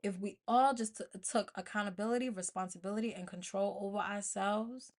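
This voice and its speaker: A woman's voice: mid-range voice, steady tone, fairly quick. An American woman hosting an informal motivational podcast solo, speaking colloquially and emphatically, repeating phrases to drive them home. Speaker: podcast host.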